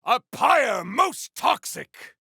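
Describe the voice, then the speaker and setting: Zealous video game champion: high-pitched for a man, raspy, bombastic and theatrical, swooping boldly through boastful combat barks. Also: sing-song, extremely slow, sharp tone, dry recording, clean studio sound, heavily acted. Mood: battle fury. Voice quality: gravelly, raspy. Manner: bombastic, archaic, theatrical declamation. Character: zealous, boastful male warrior champion, grandiose and battle-hungry.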